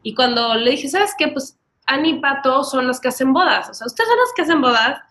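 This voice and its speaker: A woman's voice: high voice, expressive, a little fast, bright, forceful tone. A woman in her early thirties with a Mexican accent, telling her story casually and animatedly as a podcast guest, often acting out bits of dialogue. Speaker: podcast guest, wedding planner.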